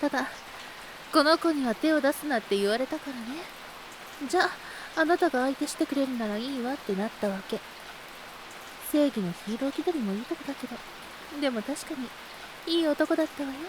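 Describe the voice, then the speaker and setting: 女性が演じる高めの声の挑発的で妖艶な女. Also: female voice, sits high, lively intonation, a little fast, long pauses, noisy background. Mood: smug seduction. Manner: coy, taunting, breathy giggles between phrases. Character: teasing, seductive, spiteful adult woman. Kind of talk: Japanese audio drama acting.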